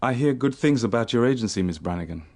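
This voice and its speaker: An actor playing an assured, businesslike professional man in a radio drama, speaking in a measured, matter-of-fact way. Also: mid-range voice, lively intonation, a little mellow, natural voice. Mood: polite, assured.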